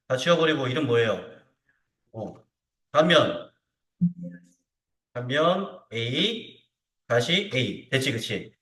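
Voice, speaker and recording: Bright-voiced male software instructor, animated, roomy. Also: on the high side. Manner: informal, frequently seeks confirmation with short tag questions. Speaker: CAD instructor. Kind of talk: hands-on software tutorial to students. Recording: roomy echo, distant mic.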